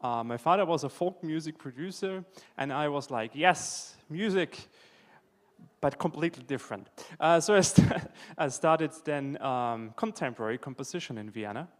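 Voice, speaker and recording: A man's voice: a little high, expressive, moderate pace, hardly any pauses. A man with an Austrian accent, a native German speaker, talking casually as a guest in a live interview. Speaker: interview guest, video game music composer. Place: indoor event hall before an audience. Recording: reverberant hall.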